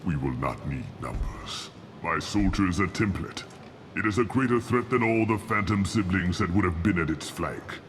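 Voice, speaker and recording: A man voicing an arrogant, ruthless old alien warlord scientist in a video game, speaking with grandiose, deliberate contempt. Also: low-pitched, expressive, fairly bright tone, slight background noise. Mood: grand contempt. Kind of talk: video game dramatic acting.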